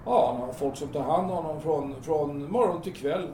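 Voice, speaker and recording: A man's voice: a little high, warm tone, close to an everyday voice. Lightish-voiced blunt man, played by an actor, roomy. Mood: matter-of-fact. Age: 30s. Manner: hesitant, matter-of-fact, colloquial. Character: blunt, casual thirtyish man talking down a would-be jumper. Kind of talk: audio drama acting. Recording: roomy, distant, noisy amateur-sounding recording.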